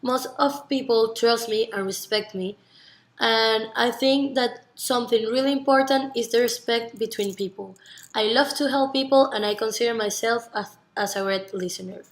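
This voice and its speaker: A woman's voice: a little high, moderate pace. A nineteen-year-old woman from Venezuela with a Venezuelan Spanish accent, earnestly giving rehearsed interview answers in non-native English with some stumbles. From Margarita, Venezuela. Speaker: university student being interviewed. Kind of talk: rehearsed interview self-presentation. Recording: echoey, roomy sound.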